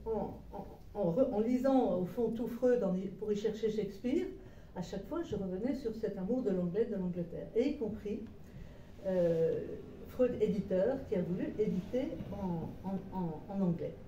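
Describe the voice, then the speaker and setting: A woman speaks as the guest at a book talk, telling her story in a rambling, hesitant way with many restarts. Her voice is deeply mellow and dark. It is recorded from a distance in a roomy space with a little background hum. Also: moderate pace, lo-fi sound. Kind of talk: informal book talk to a small audience. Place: meeting room with a live audience. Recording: roomy echo, voice far from mic.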